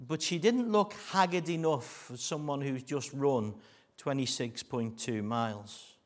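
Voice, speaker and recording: Man, Liverpool accent, middle-aged, preacher, sermon, jokey asides, earnest, sinks to a hush. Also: medium pitch. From Liverpool, England.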